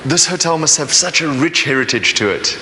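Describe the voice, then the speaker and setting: A man's voice: a little high, animated, brisk, sharp tone, slight background noise, rough recording. A man from South Africa presenting a travel show on location, upbeat and enthusiastic.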